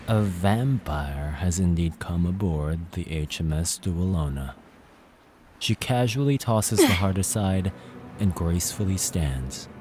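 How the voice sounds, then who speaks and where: A man's voice: sits low, darkish tone. A man narrating a scripted horror tale as a dramatic storyteller.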